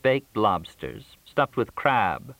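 Man acting a plaintive woman, high-pitched, lively intonation, needy, wheedling, audiobook character. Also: slow pace, gravelly, dry recording, phone-quality sound, exaggerated voice. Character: hungry, plaintive, needy woman calling late at night.

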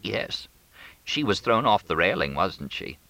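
A man's voice: sits low, big pitch swings, normal speed, sharp tone, dry recording, full character voice. A man voicing a grim, resigned old woman, a grieving mother, in an audiobook story. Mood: weary, resigned.

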